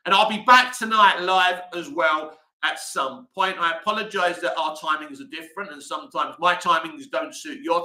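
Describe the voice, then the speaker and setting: Male streamer host, tense bright tone, slightly raised pitch, reads and answers chat, roomy echo. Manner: chatty, reads comments aloud and answers them. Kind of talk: live-stream chat answering viewer comments.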